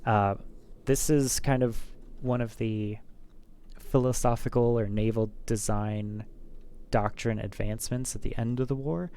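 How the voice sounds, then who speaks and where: A man's voice: mid-range voice, fairly even intonation, unhurried, mellow, clear, resonant tone. A man streaming a naval battle game, talking casually and thinking aloud with many fillers and restarts. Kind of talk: casual gameplay commentary.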